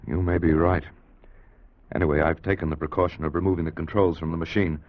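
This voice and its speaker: An actor playing a bold, authoritative scientist and time traveller in an intense 1950s radio drama style. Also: male voice, low voice, steady tone, fast. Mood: grave, assured. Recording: muffled, rough vintage radio recording.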